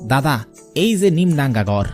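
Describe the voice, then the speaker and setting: A man's voice: mid-range voice, very animated, measured pace, a little mellow. A man playing a friendly, chatty village rickshaw puller in a cartoon, speaking rural Bangladeshi Bengali. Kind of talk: cartoon dialogue. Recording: music behind the voice.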